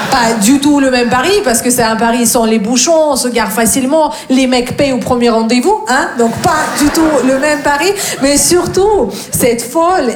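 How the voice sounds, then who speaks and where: A woman from Russia with a Russian accent, performing a sardonic stand-up set and teasing the audience in French, her second language. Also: sits high. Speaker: stand-up comedian. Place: comedy club stage with audience. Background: audience laughter.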